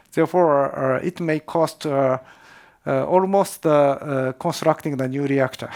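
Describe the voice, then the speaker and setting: Japanese guy, prof explaining stuff at a seminar, kinda high voice, halting english, repeats words. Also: a little mellow. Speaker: professor, expert speaker. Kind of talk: seminar question-and-answer explanation.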